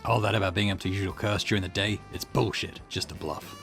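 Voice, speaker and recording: Guy doing a hard-boiled cop from an anime game, lowish voice, blunt n slangy, music under it. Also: fast talker, creaky. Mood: blunt, accusing. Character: gruff, hard-boiled, sweary male police detective.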